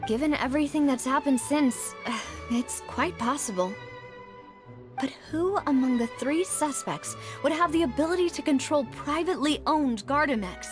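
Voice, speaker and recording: Woman acting poised, high-voiced young lady investigator. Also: close to an everyday voice. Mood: pondering. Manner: measured, deliberate, musing. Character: poised, thoughtful young woman investigating her father's case. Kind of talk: video game dialogue. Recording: game music behind the voice.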